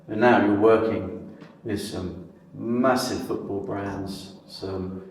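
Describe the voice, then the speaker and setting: A man's voice: fairly low pitch, steady tone, slow pace. A man hosting an interview, talking in a meandering way with plenty of fillers. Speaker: podcast host. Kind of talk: conversational interview. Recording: echoey room with faint music.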